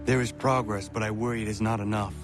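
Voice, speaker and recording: A man's voice: on the low side, fairly even intonation, over music, natural voice. Actor as solemn, earnest young male assassin. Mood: earnest, concerned. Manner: formal, measured, stiff phrasing. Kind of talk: video game voice acting.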